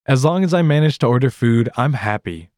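American male podcast host, animated pitch, warm mellow voice, scripted lesson. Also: a little fast, no room sound, studio quality. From United States.

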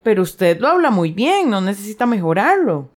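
A woman's voice: medium pitch, big pitch swings, moderate pace, lightly acted. Woman playing a curt customs agent. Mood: formal. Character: formal, unimpressed female airport customs agent. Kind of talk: scripted language-lesson dialogue.

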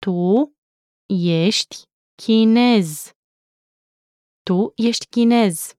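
A woman teaching a language, saying each word slowly and clearly twice in a scripted lesson. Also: mid-range voice, a little mellow, no room sound, clean studio sound. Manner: slow careful pronunciation with repeats. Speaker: native-speaker language teacher.

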